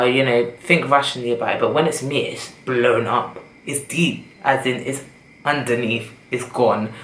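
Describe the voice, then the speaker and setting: A young woman with a British accent, chatting casually and rambling to her camera. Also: a bass voice. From Britain. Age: young adult. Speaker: vlogger. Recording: echoey room with faint noise.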